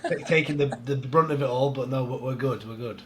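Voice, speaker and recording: A man's voice: medium pitch, fast talker. Man with a head cold, nasal. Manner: relaxed, rambling, laughs easily. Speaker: strongman coach. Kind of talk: casual podcast chat.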